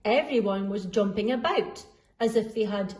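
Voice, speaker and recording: A woman reading a children's story aloud expressively, deliberately drawing out the dramatic sound words. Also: medium pitch, darkish tone. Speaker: school storyteller.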